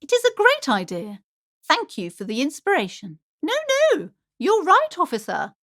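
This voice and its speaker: A superstitious woman who runs a bookshop in a video game. Her high voice swoops dramatically up and down as she frets and preaches. The delivery is theatrical and anxious, in a very dry, close recording. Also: wildly swooping pitch, moderate pace, fairly bright tone, no echo, heavily acted. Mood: gushing, inspired. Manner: theatrical, fretful, swinging between gushing and alarm.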